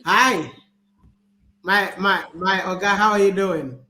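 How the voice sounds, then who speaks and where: A man's voice: sits high, slow pace, sharp tone. A man hosting a casual online chat, upbeat and laughing, with stammered phrasing. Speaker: host.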